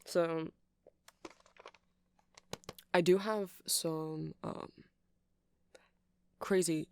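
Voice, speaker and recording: A man's voice: a little high, creaky, darkish tone, no room sound, clean studio sound. A man playing a nerdy, friendly vampire music shop clerk in an ASMR roleplay, rambling and hesitant as he explains things. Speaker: shopkeeper character in ASMR roleplay.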